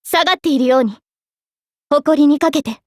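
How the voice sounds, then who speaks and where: An actress voicing a noble, resolute young mage-knight in an anime game, with a formal, commanding delivery. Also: female voice, high-pitched, normal speed, dry recording, clean studio sound, lightly acted. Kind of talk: anime game battle voice lines.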